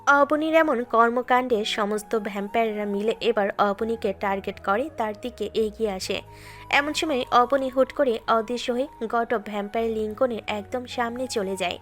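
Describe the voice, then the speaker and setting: A woman reading a scripted fantasy story as its narrator, in a steady, dramatic storytelling manner. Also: on the high side, moderate pace. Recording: music bed behind.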